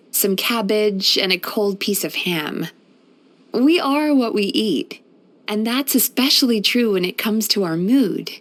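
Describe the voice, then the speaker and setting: A woman playing a gentle, caring female psychologist in a video game, speaking in a soothing, thoughtful, measured and softly playful manner. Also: mid-range voice. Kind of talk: scripted video game character voice lines.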